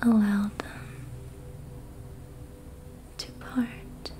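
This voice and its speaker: A woman whispering a guided relaxation in ASMR style, soothing and hushed, in short unhurried phrases. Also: medium pitch, level tone. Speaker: ASMR host guiding relaxation during a facial massage.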